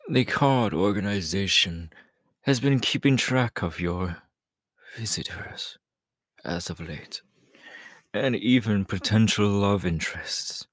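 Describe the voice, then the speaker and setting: Sly, menacing male villain acted by a man for ASMR roleplay: low-pitched, slow, teasing, breathy with whispers. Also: fairly bright tone, dry recording, clean studio sound. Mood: quiet threat. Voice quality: breathy, often dropping into whispers. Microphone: close microphone, dry.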